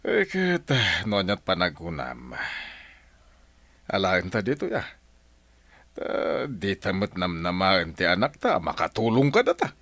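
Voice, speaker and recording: A man's voice: low-pitched, huge pitch swings, unhurried, a bit bright, no room sound, heavily acted. A man with an Ilocano accent playing an exasperated father in a village radio drama. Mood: concerned, conversational.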